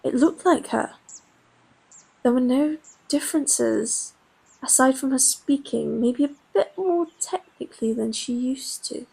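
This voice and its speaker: A subdued, grieving young woman, played by a woman in an audio drama. Her voice is husky and gravelly and sits fairly high. She speaks haltingly, over a faint music bed. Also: darkish tone, heavily acted, poor audio.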